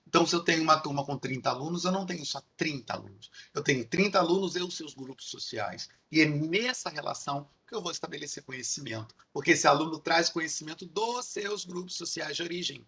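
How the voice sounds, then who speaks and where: A university lecturer with a Carioca accent, explaining concepts in a recorded lecture, building points through repeated lists and checking in with "né?". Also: male voice, fairly high pitch, quick pace, few pauses, pushed and bright, slightly rough. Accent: Carioca Portuguese. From Rio de Janeiro, Brazil. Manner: explanatory, repetitive rhetorical lists with frequent "né?".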